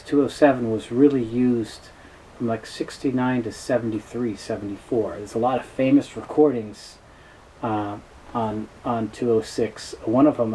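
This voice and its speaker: A middle-aged man explains product history in a soft, mellow tone at a medium pitch. His delivery is informal and halting, with pauses and self-corrections. Clear background noise sits behind his voice in a small office. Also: poor audio. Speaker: presenter, tape specialist. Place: small cluttered indoor office. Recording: noticeable background noise, room ambience.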